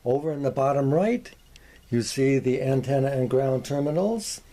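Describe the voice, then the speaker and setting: A man hosting a workshop video, presenting and explaining in a measured, orderly way. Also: medium pitch, expressive, mellow. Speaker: host of a workshop video on antique radios.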